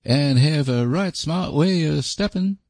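A man's voice: fairly high pitch, expressive, unhurried, darkish tone, dry recording, studio quality, voice pushed up. A man voicing a lank, solemn young farmhand suitor in a storybook, with a blunt rustic drawl. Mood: stubbornly hopeful. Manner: drawling rustic dialect, terse and abrupt. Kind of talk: audiobook character voice.

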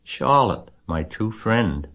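A man's voice: very deep voice, steady tone, moderate pace, vocal fry, husky, exaggerated voice. A man voicing a desperate young male pig in a storybook, crying out and pleading with urgency. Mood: anguished.

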